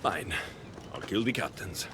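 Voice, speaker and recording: An actor playing a blunt, guarded mercenary hero with a dry, sardonic delivery in a video game cutscene. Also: male voice, low voice, animated, unhurried, background noise. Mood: resigned, dry. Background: background music.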